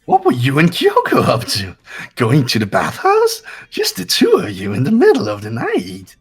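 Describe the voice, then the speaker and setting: An actor voicing a mischievous, lewd robotic teddy bear from a video game, with a raspy, gravelly voice and a teasing, theatrical manner. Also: medium pitch, wildly swooping pitch, normal speed, faint music behind, exaggerated voice. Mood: gleeful taunting. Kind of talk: cartoonish video game character acting.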